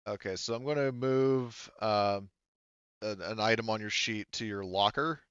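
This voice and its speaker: Low, smooth-voiced man running a game. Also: fairly bright tone, no room sound, highs cut off. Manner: casual, halting, thinking aloud. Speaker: game master. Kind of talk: running a tabletop sci-fi roleplaying game.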